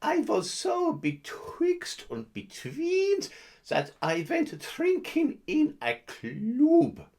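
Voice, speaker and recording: A smug, self-satisfied guru who brags in a drawn-out, theatrical way, as a woman puts him on. The voice sits high and swoops widely up and down. Every phrase is stretched out very slowly, as if each word were a gift to the listener. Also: vocal fry, exaggerated voice. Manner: drawling, mock-grand storytelling with invented words. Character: boastful, lazy self-styled guru, slow and theatrical. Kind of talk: comedic character monologue.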